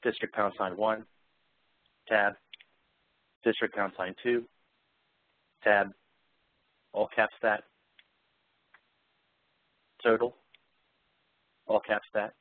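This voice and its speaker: A man giving a live software demonstration, explaining features and speaking voice commands as he goes. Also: on the low side, flat delivery, drawn-out words, long pauses, no room sound, narrow, tinny audio.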